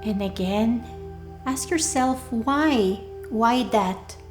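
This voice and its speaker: A woman leading a guided meditation in a soothing, deliberate way, leaving pauses between short phrases. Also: on the high side. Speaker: meditation guide, workshop leader. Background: soft background music.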